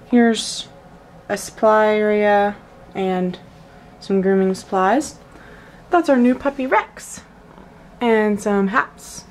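A woman's voice: medium pitch, slight background noise. A teenage girl casually filming a tour of her toy horse collection in her bedroom, chatty and gushing with lots of fillers. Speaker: vlogger. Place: bedroom at home. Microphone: handheld camera mic.

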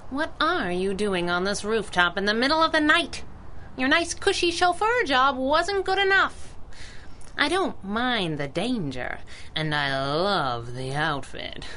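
Sassy young female vigilante sidekick, 1930s radio style. Bright, very animated, unhurried. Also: fairly high pitch, slight background noise, exaggerated voice. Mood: restless, self-chiding. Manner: sassy, self-narrating pulp patter. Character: plucky, wisecracking young female masked crimefighter sidekick.

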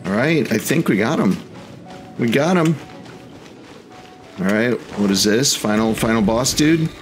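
A man's voice: medium pitch, lively intonation, measured pace. A man hosting a gaming video, giving casual play-by-play in short, mild exclamations. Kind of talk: casual let's play commentary. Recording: game music behind the voice. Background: video game music.

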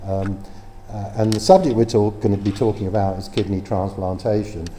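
A man's voice: fairly low pitch, normal speed, warm tone. An older man introducing a talk in a lecture room, speaking plainly and personally with some fillers and restarts. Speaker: transplant patient introducing the speaker. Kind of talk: spoken introduction at a public talk. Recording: echoey lecture room with faint noise.

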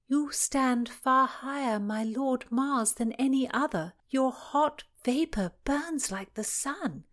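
A woman voicing an earnest young gentlewoman in a historical audiobook, with dramatic, drawn-out delivery. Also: sits high, voice pushed up. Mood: ardent, puzzled. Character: young gentlewoman reading love letters aloud, earnest, incredulous. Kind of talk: audiobook character acting.

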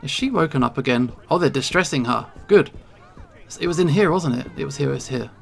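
A video game streamer talks through play in a let's play style. The voice sits at a medium pitch with plenty of gravelly fry. The delivery is jittery and anxious, like someone muttering to themselves as they go. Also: animated, brisk. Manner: nervous, jittery self-talk.

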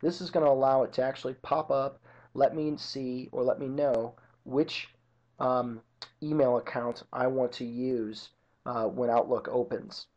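A man narrating an informal software tutorial as an instructor, explaining each step methodically. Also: mid-range voice, little pitch movement, a little slow. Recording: dull lo-fi computer screen-recording audio.

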